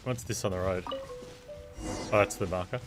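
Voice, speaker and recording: Male game streamer, deep register, offhand think-aloud commentary, casual, recorded under very loud game music. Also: a little slow. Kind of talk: casual live gameplay commentary.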